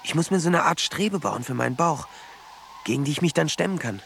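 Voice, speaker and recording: Dreamy, obsessed teenage boy, earnest and absorbed, gravelly edge, radio drama with slight background noise. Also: on the high side, animated, brisk, a bit bright. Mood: confident, matter-of-fact. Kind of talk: German radio drama acting.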